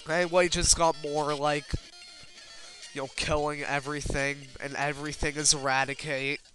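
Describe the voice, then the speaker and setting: A man's voice: fairly high pitch, level tone, moderate pace, a bit bright. A man giving casual, rambling video game commentary in a self-deprecating way, with casual swearing. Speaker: let's player. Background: video game music.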